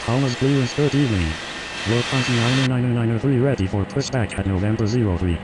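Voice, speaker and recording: Procedural male pilot over very loud noise. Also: medium pitch, fast talker. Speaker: pilot radioing air traffic control. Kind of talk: scripted air traffic radio phraseology.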